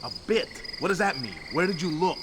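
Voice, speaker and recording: A man acting as an irritable game henchman with a slightly high, swooping voice and a sardonic, impatient edge. He sounds exasperated with whoever he talks to. Loud night ambience with chirping insects sits behind him. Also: lively intonation, fairly quick, big-room sound, background noise, natural voice. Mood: exasperated, sarcastic. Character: irritable, sarcastic male henchman, impatient with a dim partner.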